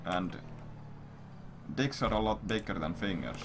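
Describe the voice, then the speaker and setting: A man's voice: low-pitched, animated, measured pace, noisy background. A man livestreaming, telling a story in casual, rambling chat with blunt, repetitive, profanity-heavy talk.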